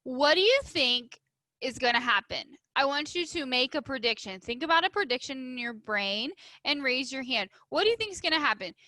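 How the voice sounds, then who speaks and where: A woman reads to young pupils in a fairly high, bright voice with a dry, close sound. She is emphatic and instructive, shaping the story expressively as a teacher would. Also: expressive, moderate pace, no echo. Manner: emphatic, instructive, addressing children. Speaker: elementary school teacher. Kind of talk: expressive storybook read-aloud with questions to pupils.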